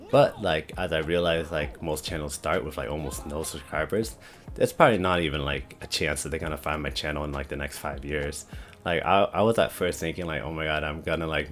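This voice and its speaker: Deep monotone young man vlogging. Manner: rambling, heavy use of "like" and "you know". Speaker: YouTube creator. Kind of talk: casual talking-head vlog.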